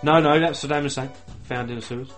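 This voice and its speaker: A man's voice: medium pitch, steady tone, quick pace, fairly bright tone, faint music behind. A man from England co-hosting a podcast, mumbling in slangy, sweary casual banter. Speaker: podcast co-host.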